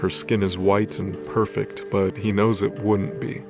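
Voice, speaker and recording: A man's voice: lowish voice, little pitch movement, unhurried, gravelly, over music. A man reading scripted story narration for an audio drama.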